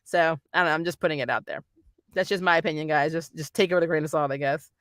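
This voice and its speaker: Bright-voiced woman chatting casually, dry close sound. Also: deep voice, fast talker, no room sound. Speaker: anime reviewer and vlog host. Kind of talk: casual, rambling review chat to viewers.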